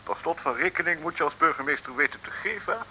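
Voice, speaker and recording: An actor playing a pompous, stuffy mayor in a 1950s comic radio serial, formal and easily affronted. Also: male voice, fairly high pitch, quick pace, sharp tone, husky, exaggerated voice, low-quality recording. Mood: grudging.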